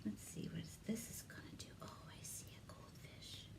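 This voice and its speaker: A woman telling a story to young children as a librarian at storytime, expressive, with chatty and sometimes whispered asides to her listeners. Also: normal speed. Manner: asks young listeners simple questions. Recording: noticeable background noise.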